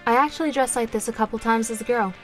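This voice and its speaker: An actress playing an experienced witch in an audio drama, reassuring and gently knowing. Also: fairly high pitch, quick pace, soft background music, lightly acted. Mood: wry. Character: calm, protective, experienced woman witch.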